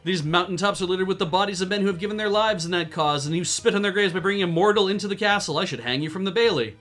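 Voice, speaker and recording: A man voicing a proud, furious middle-aged male border baron in a video game, reading his lines in a haughty, loud manner. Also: sits high, rapid-fire, pitched above the performer's own voice.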